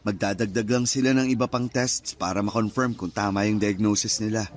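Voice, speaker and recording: An actor playing a calm, reassuring young father and nurse who explains patiently, in a radio drama. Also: lowish voice, a little fast, close to an everyday voice. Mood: explaining.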